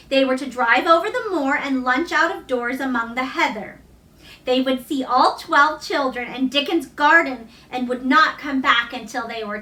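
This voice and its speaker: A woman's voice: high voice, sharp tone. A woman narrating a children's book aloud as a storybook reader. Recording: roomy echo, distant microphone.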